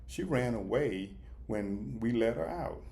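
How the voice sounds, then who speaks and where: A man reading the part of a weary, pragmatic female shelter manager in a children's storybook, in a measured, resigned way. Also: mid-range voice, animated, vocal fry, dark tone.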